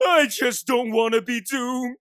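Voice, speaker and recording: An actor voicing a gloomy, panicky young student in a comic dub, fretting in a drawn-out, melodramatic way over noisy ambience. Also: medium pitch, fairly even intonation, lightly acted. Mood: panicked gloom. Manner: fretful, melodramatic, drawn-out doomsaying. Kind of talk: animated-style comic dub acting.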